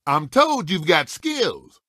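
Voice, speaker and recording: A folksy old race car, a cocky veteran of the track in an animated video game. His voice is high and forceful, swinging wildly up and down through short, exclamatory lines. The sound is dry and close. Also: slow pace, no room sound, full character voice. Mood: feisty.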